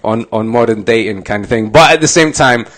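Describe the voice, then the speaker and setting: A man's voice: medium pitch, fairly quick, fairly bright tone. A man in his thirties talking casually as a podcast guest, informal and full of conversational tags like "you know what I mean". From Glasgow and Oxford, UK. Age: 30s. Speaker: interview guest, musician and doctor.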